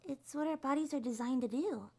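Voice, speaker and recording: A woman voicing a small, shy, gentle monster girl in an ASMR roleplay, speaking softly, hesitantly and reassuringly. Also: high voice, a little fast, gravelly. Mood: gentle, chatty. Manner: hesitant, soft-spoken, reassuring.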